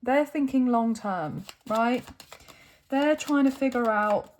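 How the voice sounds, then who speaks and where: A woman with a croaky, tired voice giving a casual tarot reading, recorded on a phone, chatty and often checking in with okay and right. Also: on the high side, slow pace, a little mellow, slight background noise. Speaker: tarot reader.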